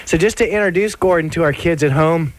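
A man's voice: a little high, brisk, slight background noise. A male radio host chatting casually and joking with a phone-in guest from a radio studio. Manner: laid-back, joking, fillers and restarts. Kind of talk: casual live radio chat.

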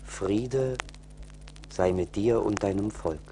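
Stately, slow-speaking king. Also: fairly low pitch, mellow. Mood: solemn, gracious. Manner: stately, solemn, declamatory. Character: dignified, generous foreign king, solemn and gracious. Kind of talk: storybook audio play acting. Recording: old vinyl record, faint noise.

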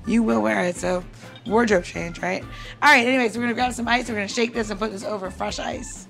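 A woman with noticeable vocal fry talks through a cocktail recipe in a casual podcast style. She is chatty and informal, poking fun at herself, with music faintly behind her. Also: mid-range voice, fast, fairly bright tone. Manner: informal, chatty, self-deprecating. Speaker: podcast co-host and bartender.

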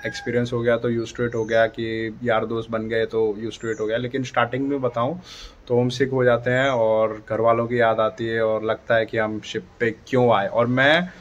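A man's voice: mid-range voice, little pitch movement, moderate pace, lo-fi sound, a little hiss or hum. A man in his thirties talking casually to a phone camera indoors, gesturing a lot as he gives advice to his viewers. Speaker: vlogger. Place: dim room indoors by a dark door. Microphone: phone camera at arm's length.

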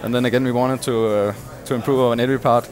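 A man's voice: medium pitch, fairly even intonation. Hesitant man explaining technical details. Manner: hesitant, halting, measured. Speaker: loudspeaker driver designer being interviewed. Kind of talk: technical explanation in a relaxed interview. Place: glass-walled booth at a trade show hall. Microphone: headset.

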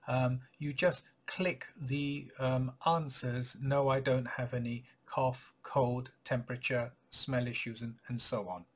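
A man in his forties, a clinic practitioner, giving patients an informational update in a measured, polite, slightly husky voice. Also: mid-range voice, little pitch movement. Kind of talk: informational announcement to camera. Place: small home office or study, indoors.

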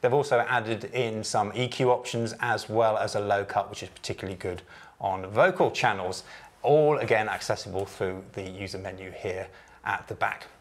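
A man in his forties giving an informal, conversational product demonstration, explaining clearly as a presenter. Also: on the low side, fairly even intonation, moderate pace. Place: office meeting room, indoors. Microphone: lapel.

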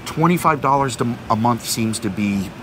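A man's voice: medium pitch, expressive, normal speed. Middle-aged man, casual talk, rambling with fillers, outdoor marina, noisy background. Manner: talkative, repeats words, many fillers. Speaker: vlog co-host. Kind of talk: casual explanatory chat. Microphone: fixed camera at a few meters. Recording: outdoor noise behind.

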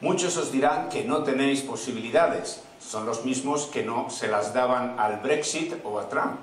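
A man hosting a political rally, reading out messages and introducing speakers with quick joking asides. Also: medium pitch, slight background noise. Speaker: event host. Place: large indoor event hall. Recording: echoey hall, far from mic. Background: audience laughter.

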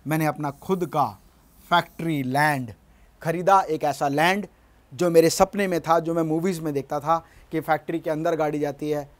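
Business coach, middle-aged man, slightly high voice, emphatic studio delivery on a lapel mic. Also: fairly even intonation, moderate pace. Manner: emphatic, gestures at a screen, asks "है ना?". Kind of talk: motivational business lesson. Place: studio in front of a large screen.

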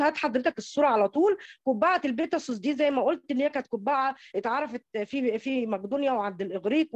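A dull, lo-fi online-call recording of an Egyptian woman presenting academic slides. Her voice sits fairly high and she talks quickly, often stumbling over and repeating small words as she explains. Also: a little mellow, dry recording. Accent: Egyptian Arabic.

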